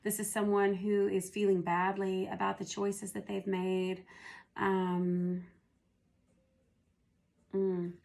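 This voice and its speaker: Hoarse, gravelly woman reading tarot. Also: mid-range voice, flat delivery, darkish tone. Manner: unhurried, thinking aloud as she reads. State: hoarse, losing her voice. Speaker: tarot reader. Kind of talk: casual spoken tarot reading.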